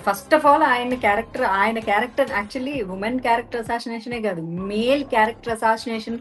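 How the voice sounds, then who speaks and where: A woman's voice: fairly high pitch, steady tone, a little fast, a bit bright, faint music behind. A woman hosting a podcast, chatting and questioning her guest in a casual conversational style. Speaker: podcast host.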